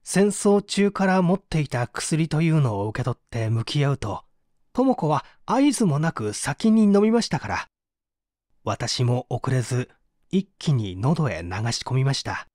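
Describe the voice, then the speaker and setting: A man's voice: mid-range voice, sing-song, a little slow, a little mellow, no echo, studio quality. A man playing an anguished painter confessing to an interrogator in a polite, emotive radio-drama reading. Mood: haunted, agitated. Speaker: narrator and reader.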